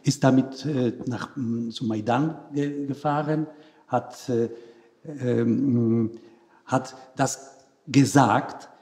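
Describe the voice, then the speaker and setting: A man with a Polish accent speaks slowly in a mellow, relaxed tone. He is a panel guest telling anecdotes, halting often to search for words. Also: medium pitch. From Poland.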